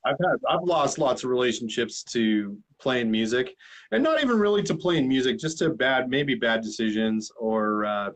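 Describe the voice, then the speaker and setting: A man's voice: medium pitch, sing-song, normal speed. Frank, joking host in his forties. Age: 40s. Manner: frank and joking, swears casually. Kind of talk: casual chat. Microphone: video call.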